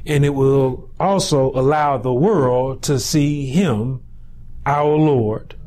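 A pastor in his forties preaching a sermon in a small indoor room on a lapel mic, building to shouted peaks between slow, drawn-out phrases. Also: medium pitch.

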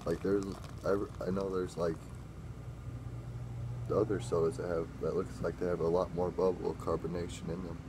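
Deep gravelly young man, rambling. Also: normal speed, background noise. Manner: rambling, self-correcting, excitable when tasting. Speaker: drink-mixing vlogger. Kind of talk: casual taste-test vlog. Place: small dim room indoors.

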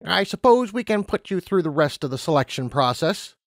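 Uomo che fa una anziana unicorna severa e sprezzante. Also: male voice, a little high, big pitch swings, fairly quick, dry recording, clean studio sound, pitched above the performer's own voice. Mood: grudging. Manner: curt, formal, condescending. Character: stern, disdainful aging female unicorn personnel officer.

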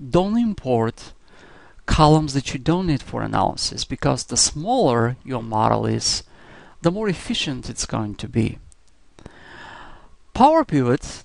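A man giving step-by-step software instruction in a scripted screencast narration style, as a teacher would. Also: mid-range voice, vocal fry, no echo.

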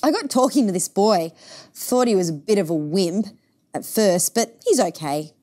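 A woman's voice: medium pitch, lively intonation, normal speed, mellow, natural voice. A woman voicing a cheerful, easygoing young girl in a picture book, chatty and frank. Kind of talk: picture book read-aloud.

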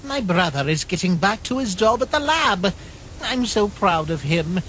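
A man voicing a friendly, proud, innocent skeleton in an animated comic dub, theatrical and earnest. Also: high-pitched, moderate pace, a little hiss or hum, low-quality recording.

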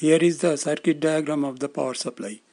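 A man in his sixties giving scripted instructional narration as an electronics instructor, in a measured, formal, explanatory manner. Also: on the high side, fairly even intonation, over loud music. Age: 60s.

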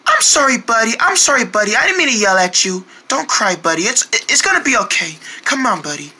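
A goofy, bossy but caring young guy from a puppet comedy, as a performer voices him. He rambles and stumbles over his words in a bright, lively voice. He scolds fast and comforts just as fast. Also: mid-range voice. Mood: comforting. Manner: rambling, stammering, casual slang.

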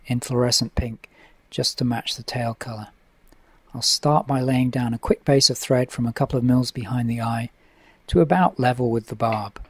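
Calm man explaining fly tying. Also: medium pitch, breathy edge, no room sound. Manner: relaxed, methodical, with frequent uh fillers. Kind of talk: instructional voice-over. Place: home tying desk.

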